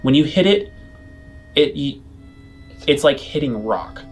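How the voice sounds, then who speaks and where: A man working as game master, narrating a tabletop game off the cuff in a loose, halting, conversational way. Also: on the high side, lively intonation. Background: music.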